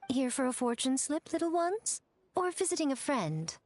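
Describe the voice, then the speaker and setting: A woman's voice: high-pitched, sing-song, normal speed, darkish tone. A woman voicing a sly, teasing, elegant shrine lady in a video game, playful and poised in her delivery. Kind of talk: animated video game voice acting.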